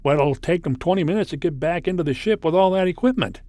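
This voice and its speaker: A man's voice: fairly high pitch, lively intonation, fast talker, mellow, dry recording, close to an everyday voice. An actor playing a plain-spoken, wry spaceship crewman with a folksy manner, in an old-time sci-fi radio drama. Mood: puzzled.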